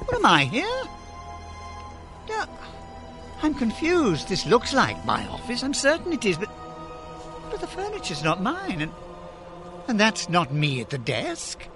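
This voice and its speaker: High-voiced, fervent old miser. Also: male voice, huge pitch swings, heavily acted. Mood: confused, uneasy. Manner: halting, fervent, repeats words in agitation. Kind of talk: theatrical family radio drama acting. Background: dramatic music.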